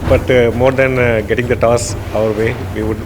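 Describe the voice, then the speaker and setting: A man, a chess player recalling his start in a measured, reflective documentary interview. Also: medium pitch, flat delivery, reverberant, over music.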